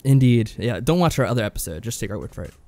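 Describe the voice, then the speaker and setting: A man's voice: medium pitch, lively intonation, fast talker, warm tone, dry recording. A man co-hosting a podcast, casually summarising and reviewing games in a dry, understated way. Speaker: podcast co-host.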